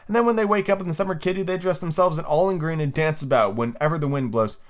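A man's voice: a little high, fast talker, voice pushed up, lightly acted. A man voicing a prim, chatty little girl who scolds and lectures her kitten, in a raised sing-song voice. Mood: dreamy. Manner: fussy, lecturing, lively and whimsical. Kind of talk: amateur reading of a children's book.

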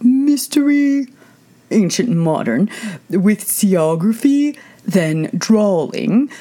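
A woman's voice: mid-range voice, expressive, mellow, voice pushed up. A woman voicing a mournful old Mock Turtle from a storybook, sighing and drawing out the words sorrowfully. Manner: slow, drawn-out, sorrowful sighing delivery.

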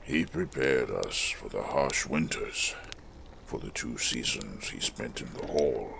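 An actor playing a weathered, solemn smith and former fire preacher in a fantasy audio drama, speaking in a gravelly, measured, reflective way. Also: male voice, a bass voice, animated, noisy background, full character voice. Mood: earnest, urging.

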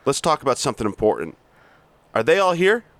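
A man's voice: medium pitch, huge pitch swings, fast talker, fairly bright tone, no room sound, pitched above the performer's own voice. A man reading aloud as a brash, abusive male sales boss, berating his staff and swearing, at times stumbling over the script. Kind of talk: amateur reading of a scene.

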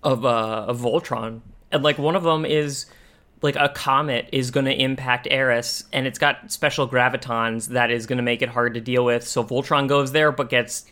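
A man co-hosting a podcast, talking casually and thinking aloud in a halting way. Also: mid-range voice, fairly even intonation, pushed and bright. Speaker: podcast co-host. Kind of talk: casual discussion of animation.

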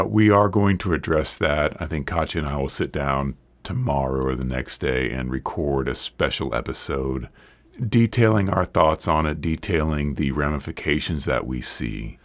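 A man with an extremely deep, gravelly voice, still hoarse and struggling to enunciate after being sick. He talks in an earnest, rambling, conversational way as the host of a solo podcast, recorded dry and close with no room sound. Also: moderate pace, dry recording. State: hoarse, recovering from illness.

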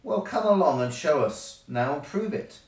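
A man's voice: fairly high pitch, expressive, moderate pace, fairly bright tone, reverberant, recorded from a distance, rough recording. Man as a gloating, swooping-voiced female wasp. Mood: taunting. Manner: mocking, gloating. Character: gleefully spiteful female wasp, taunting bully.

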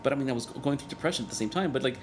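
Earnest, halting man in his thirties. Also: mid-range voice, slight background noise. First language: Spanish. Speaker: guest, cartoonist and organizer. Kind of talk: candid personal interview.

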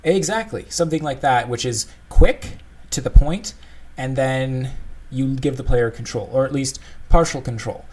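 A man's voice: medium pitch, moderate pace, hardly any pauses, a little mellow. A man co-hosting a casual discussion, explaining his thoughts in a thoughtful, conversational way. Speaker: podcast co-host discussing game design.

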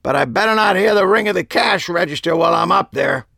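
A man's voice: fairly high pitch, very animated, normal speed, creaky, fairly bright tone, no echo, studio quality, voice pushed up, heavily acted. A man voicing an exasperated elderly male magic shop owner in an audiobook. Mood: fretful. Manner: exasperated, emphatic.

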